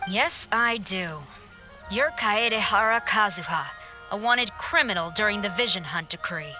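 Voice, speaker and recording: A woman's voice: medium pitch, measured pace, music in the background, lightly acted. A woman playing a stern, upright military commander in a video game cutscene, formal and authoritative. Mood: composed, formal.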